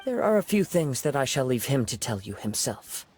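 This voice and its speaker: Man voicing a gentle young wandering swordsman. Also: mid-range voice, big pitch swings, moderate pace, soft background music, lightly acted. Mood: calm. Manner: gentle, polite, poetic. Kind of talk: video game voice acting.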